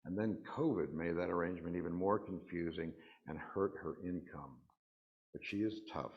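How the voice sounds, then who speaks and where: A man's voice: low voice, moderate pace. A man reading personal stories aloud at a worship service, in a dull, slightly muffled recording.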